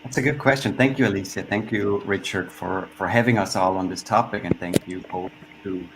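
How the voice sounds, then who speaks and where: Low-voiced man from Switzerland on a call. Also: creaky. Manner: reflective, with frequent pauses and restarts. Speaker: panelist. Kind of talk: online panel discussion. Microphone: video call. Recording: noisy video-call audio.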